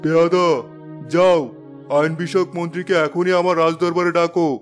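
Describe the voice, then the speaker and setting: A stately fairy tale king acted by a man, with a notably high male voice. He speaks formally, as in a cartoon, over soft music. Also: monotone, normal speed, lightly acted. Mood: commanding. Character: anxious, commanding, remorseful fairy tale king. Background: background music.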